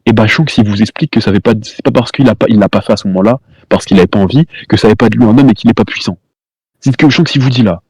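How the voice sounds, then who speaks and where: A man's voice: on the low side, fast, darkish tone, husky, no room sound. A man streaming from home, arguing heatedly with a caller, repeating himself, talking over the other person and throwing insults. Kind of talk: heated live-stream argument.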